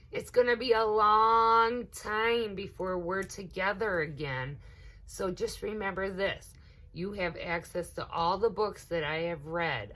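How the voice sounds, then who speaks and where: A woman's voice: deep voice, fairly bright tone, rough recording. A woman teaching assistant doing a storybook read-aloud, talking directly to her child listeners. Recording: noticeable background noise.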